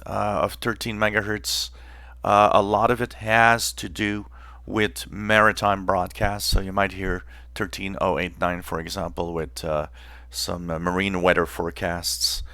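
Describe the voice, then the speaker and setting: A man hosting a hobby channel, explaining informally in a halting way with pauses mid-phrase. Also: on the low side, creaky, pushed and bright, no room sound. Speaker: host of a shortwave radio channel.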